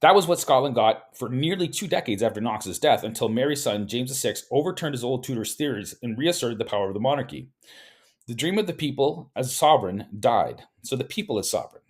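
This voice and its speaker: A man's voice: medium pitch, expressive, fairly quick, breathy edge. A man reading a history book aloud, stumbling and repeating words now and then, and breaking off into casual spoken asides. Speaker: host reading from a book and commenting.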